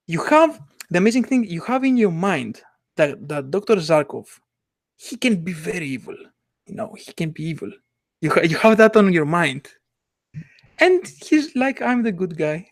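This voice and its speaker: An eager man with chats about stories in a fairly high voice. He stumbles and restarts often as his ideas race ahead. The recording is dry and close. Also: dry recording. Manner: enthusiastic, halting, many restarts and laughs. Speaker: comic artist. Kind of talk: casual podcast chat.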